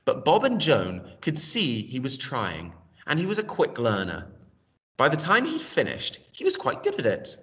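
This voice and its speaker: A man reads a children's story with hugely varied intonation. His voice is bright and mid-pitched, heard from slightly away from the microphone. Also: huge pitch swings, moderate pace, far from the mic. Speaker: storytelling reader. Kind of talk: expressive children's story reading.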